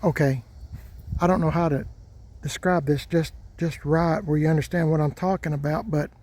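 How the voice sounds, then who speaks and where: A man's voice: on the high side, normal speed, a little mellow. A man, rambling casually and folksily as a vlog host, standing outdoors by his parked trucks. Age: 60s. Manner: folksy, drawling, repeats himself.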